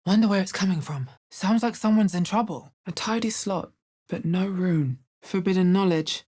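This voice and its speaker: A woman playing a wry, resolute, watchful adventurer heroine in a video game, with a deep, husky voice and a measured, understated delivery. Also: a little mellow, natural voice. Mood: composed, watchful. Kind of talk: video game character voice lines.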